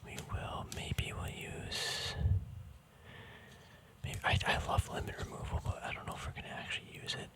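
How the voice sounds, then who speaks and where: An ASMR host speaking in a casual, rambling whisper, hesitant and thinking aloud. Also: moderate pace. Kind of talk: ASMR whispering, casual card unboxing chat.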